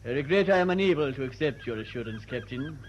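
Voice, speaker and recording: A man's voice: medium pitch, lively intonation, quick pace, natural voice. Man playing formal, unyielding naval officer. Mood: firm, formal. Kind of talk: radio drama acting. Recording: dull sound, faint background noise.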